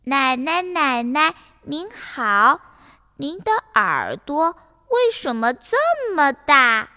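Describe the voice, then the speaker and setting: A woman's voice: high-pitched, sing-song, slow, bright, forceful tone, big-room sound, full character voice. A woman voicing an innocent, curious little girl in a children's fairy tale, childlike and polite. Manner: childlike, repeats her calls, wide-eyed questioning.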